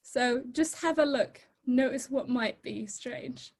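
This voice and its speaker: A young woman from Egypt speaks over a video call in a bright, high voice. She talks to the audience in a lively, chatty and informal way, and laughs easily. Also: slow pace, a little hiss or hum. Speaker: guest speaker. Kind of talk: presentation talk on a video call.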